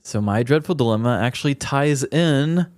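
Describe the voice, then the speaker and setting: A man's voice: mid-range voice, animated, moderate pace, darkish tone, no room sound, clean studio sound. A man co-hosting a podcast, telling stories in a casual, comedic and chatty way. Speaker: podcast co-host.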